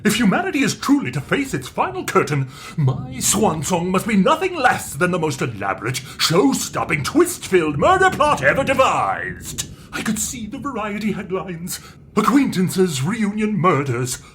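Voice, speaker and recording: An actor in an audio comedy drama playing a vengeful, theatrical villain brother, pompous and melodramatic. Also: male voice, high voice, huge pitch swings, normal speed, a bit bright, slight background noise, full character voice. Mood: grandiose gloating.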